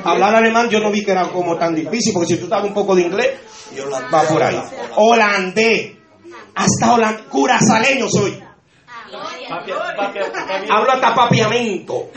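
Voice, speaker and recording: High-voiced Dominican pastor preaching in a church. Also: male voice, moderate pace, long pauses, crowd noise. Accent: Dominican Spanish. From Dominican Republic. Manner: exuberant preaching with frequent shouts of praise and laughter. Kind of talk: sermon. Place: church hall. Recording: echoey church with congregation.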